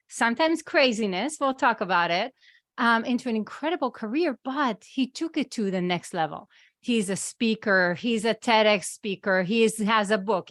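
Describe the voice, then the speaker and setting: Effusive woman host, somewhat high voice, dry close-mic recording. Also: fairly bright tone, no echo. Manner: enthusiastic, effusive. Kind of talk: podcast interview.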